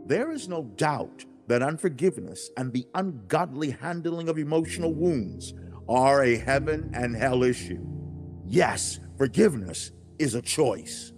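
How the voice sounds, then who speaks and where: A man playing God as a stern, loving, earnest father in a dramatized religious audiobook, speaking emphatically and deliberately with dramatic pauses. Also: mid-range voice, expressive, a little mellow, soft background music. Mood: solemn, instructing. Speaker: audiobook narrator.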